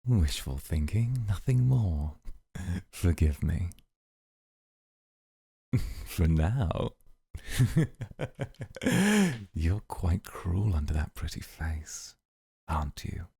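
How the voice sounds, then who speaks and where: A man playing a composed, calculating, coolly amused mafia boss, speaking in a measured, formal way in an ASMR roleplay. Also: sits low, sing-song, gravelly, mellow, slightly rough, dry recording, studio quality, heavily acted. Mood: dryly amused.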